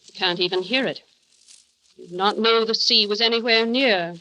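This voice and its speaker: An actress playing a cold, stiffly formal housekeeper of a grand house in a 1930s radio drama. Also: female voice, mid-range voice, normal speed, sharp tone, slight background noise, close to an everyday voice, poor audio.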